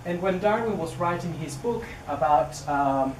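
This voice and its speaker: A man's voice: on the high side, lively intonation, unhurried. A man in his thirties with a Chilean Spanish accent, a native Spanish speaker, giving a public talk as an orchid researcher, hesitant with many fillers and restarts. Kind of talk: public lecture. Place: indoor venue near a greenhouse. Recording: distant roomy recording with noise.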